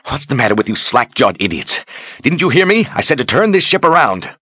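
A man voicing an imperious, impatient sorceress who gives sharp, clipped orders. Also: lowish voice, sing-song, moderate pace, husky, dry recording, voice pushed up, full character voice. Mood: commanding.

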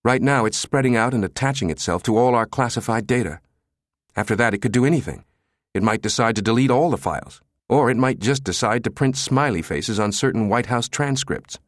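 A man's voice: medium pitch, expressive, fairly quick, dry recording, studio quality, pitched above the performer's own voice, close to an everyday voice. A man voicing a crude, insolent computer systems chief in an audiobook, brash and sardonic, spitting out his words. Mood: grim. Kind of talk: audiobook character dialogue.

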